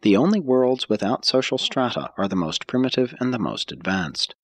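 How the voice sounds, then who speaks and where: A man narrating an audiobook from a script in a measured, formal way, with deliberate emphasis. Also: medium pitch, sing-song, no echo.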